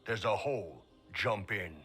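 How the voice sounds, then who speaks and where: A man's voice: fairly low pitch, animated, unhurried, sharp tone. A man playing an impatient, soldierly companion in a video game, blunt and curt. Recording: game music underneath.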